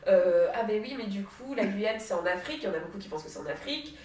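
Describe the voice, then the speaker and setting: A young woman from French Guiana chats in a loose, conversational way. She often pauses on small hesitations. She sits well back from the mic in a strongly echoing room. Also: mid-range voice, lively intonation, darkish tone. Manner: chatty, frequent hesitations. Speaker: association member in discussion. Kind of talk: casual conversation. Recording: echoey room, distant mic.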